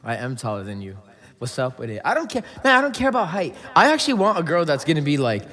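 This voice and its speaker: A man's voice: a little high, wildly swooping pitch, quick pace, a bit bright. A young man hosting a casual crypto trading livestream, joking with chat and rambling, his voice a little worn. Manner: joking, rambling, breaks into singing. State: slightly lost voice. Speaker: livestream host, crypto trader.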